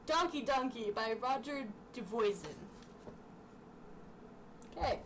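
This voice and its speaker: A woman's voice: fairly high pitch, fairly bright tone. Distant, noisy recording of a giggly young woman. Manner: giggly, breaking off into asides. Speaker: amateur vlogger reading childhood books aloud. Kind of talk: casual chatty read-aloud with asides. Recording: distant mic with clear background noise.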